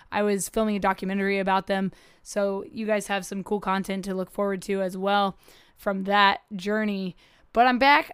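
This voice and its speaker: Hoarse, upbeat woman podcast host. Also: medium pitch, no echo, clean studio sound. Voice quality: upbeat, chatty. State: hoarse, with a cough. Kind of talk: casual podcast chat.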